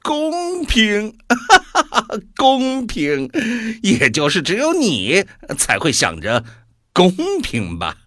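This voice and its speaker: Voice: medium pitch, wildly swooping pitch, extremely slow, a bit bright, no room sound, studio quality, exaggerated voice. An actor playing a mocking devil in a radio drama, gleefully taunting with theatrical delivery. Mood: scornful. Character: mocking devil gleefully wagering on a world's fate.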